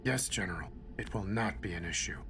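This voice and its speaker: An actor playing an earnest, respectful ranger soldier in an audio drama, measured and formal. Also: male voice, sits low, fairly bright tone, a little hiss or hum. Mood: dutiful.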